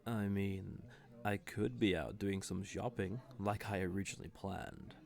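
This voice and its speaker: A man's voice: fairly low pitch, fairly even intonation, creaky. A man playing a cocky, suave fantasy villain who teases and flirts smugly in an audio roleplay. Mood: smug, teasing. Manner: smug, playful, unhurried teasing with soft chuckles. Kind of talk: scripted audio roleplay addressed to the listener.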